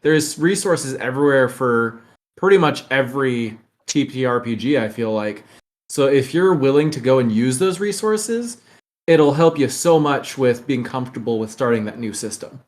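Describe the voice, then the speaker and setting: A man's voice: medium pitch, expressive, normal speed. Frank man giving casual podcast advice. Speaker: podcast host.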